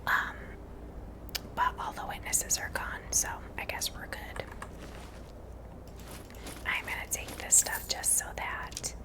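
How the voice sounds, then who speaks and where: A woman whispering unhurried ASMR commentary as she plays a game, musing aloud. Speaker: ASMR gameplay host.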